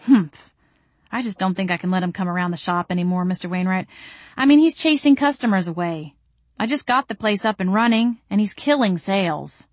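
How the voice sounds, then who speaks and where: A woman's voice: mid-range voice, lively intonation, a little fast, no echo, lightly acted. A young female vampire from a comic novel, acted by a woman reader. She is sarcastic and easily exasperated, leaning on her words with wry emphasis. Her voice is mid-range and recorded very dry.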